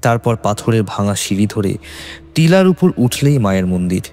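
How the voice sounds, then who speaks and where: Low-pitched Bengali male horror narrator. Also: sing-song, normal speed, a little mellow, soft background music. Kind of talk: scripted horror story narration.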